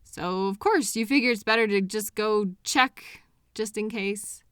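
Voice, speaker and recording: Slow, tender girlfriend comforting her partner , acted by a woman. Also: mid-range voice, a bit bright, clean tone, no echo, clean studio sound, lightly acted. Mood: sympathetic, indignant. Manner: tender, reassuring, unhurried pauses with sighs. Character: loving, patient girlfriend comforting an anxious partner. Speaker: audio roleplay performer. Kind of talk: intimate comfort roleplay audio, speaking softly to a partner.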